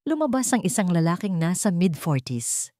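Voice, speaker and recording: A woman's voice: lowish voice, very animated, moderate pace, mellow, dry recording. A woman with a Filipino accent reading a scripted story in a dramatic storytelling style.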